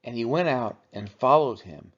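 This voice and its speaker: Man reading scripture with lively, swinging pitch. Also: normal speed. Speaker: Bible reader for a church read-along. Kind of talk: scripture reading, narrated aloud. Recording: dull, lo-fi sound.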